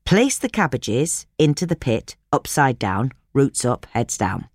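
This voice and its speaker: A woman reading a scripted instructional article aloud as narrator, in measured, clearly separated phrases. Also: very deep voice, very animated, no room sound, studio quality.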